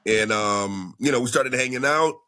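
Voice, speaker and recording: A man, a rapper, talking in a laid-back, slow way with many fillers in a casual interview. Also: medium pitch, pushed and bright.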